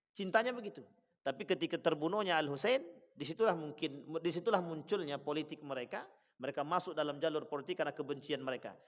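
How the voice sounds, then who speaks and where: A man's voice: a little high, fairly bright tone. A man preaching a religious lecture to a congregation, emphatic, repeating phrases and asking rhetorical questions. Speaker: Islamic preacher. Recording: long hall reverb.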